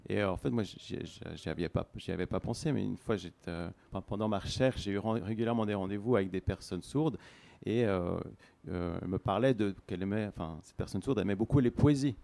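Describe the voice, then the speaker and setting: A man's voice: lowish voice, a little fast, gravelly, mellow. A man speaking earnestly and hesitantly in a group discussion, with many restarts. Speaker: participant in a discussion.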